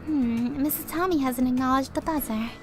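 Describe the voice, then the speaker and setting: A woman's voice: sits high, moderate pace, darkish tone, faint music behind, voice pushed up, natural voice. A woman voicing a courteous young female front desk agent at a dorm. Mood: helpful. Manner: courteous, businesslike.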